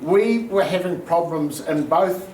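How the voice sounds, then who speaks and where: A man with a New Zealand accent, a council candidate answering an audience question in a measured, explanatory way. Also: fairly high pitch, expressive, a little mellow, a little hiss or hum. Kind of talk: answering a question at a public meeting. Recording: echoey hall, distant mic.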